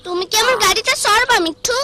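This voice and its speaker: A woman's voice: extremely high pitch, fast, heavy background noise. A woman playing an eager, caring young village girl in a cartoon, with a Bangladeshi accent.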